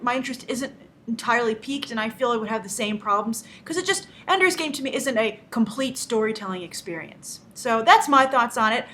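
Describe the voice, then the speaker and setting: Female movie review host, high voice, opinionated and emphatic delivery. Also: pushed and bright, a little hiss or hum.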